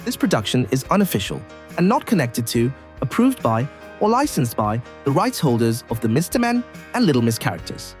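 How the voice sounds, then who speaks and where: A man's voice: mid-range voice, sing-song, a little fast, faint music behind. A man reading a scripted disclaimer as an announcer.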